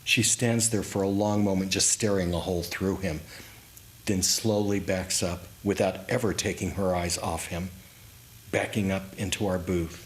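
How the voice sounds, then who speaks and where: A man giving a dramatic, reflective reading of his own memoir story, with deliberate pauses. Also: low voice, fairly even intonation. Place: indoors in a historic house, live reading. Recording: clearly noisy live room recording.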